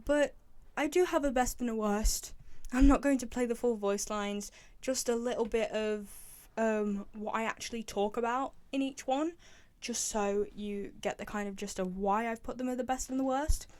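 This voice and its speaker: A young woman speaks in a fairly high voice as a fan host. She is chatty and informal, giving her opinions with open enthusiasm in casual commentary. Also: normal speed, a little mellow.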